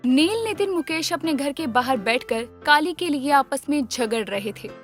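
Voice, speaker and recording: High-voiced woman narrating a story. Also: animated, moderate pace, soft background music.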